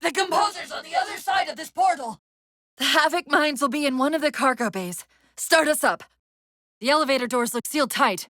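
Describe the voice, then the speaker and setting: A woman voicing a video game's female AI companion, an urgent, wry military guide with crisp, technical, commanding delivery. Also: sits high, a little fast, fairly bright tone, no echo. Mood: brisk, commanding. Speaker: video game voice actor. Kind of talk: video game dialogue, tactical callouts.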